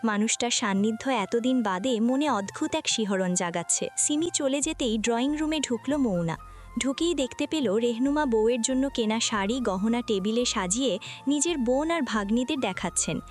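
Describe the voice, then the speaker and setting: A woman's voice: a little high, lively intonation, normal speed, soft background music. A woman reading scripted narration for a romantic family audio story.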